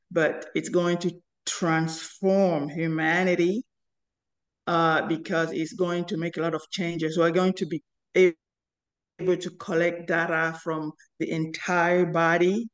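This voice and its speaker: Slow, flat-voiced woman. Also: on the low side. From Cameroon. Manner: earnest, halting with many pauses and fillers. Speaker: guest, inventor and company founder. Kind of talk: interview storytelling.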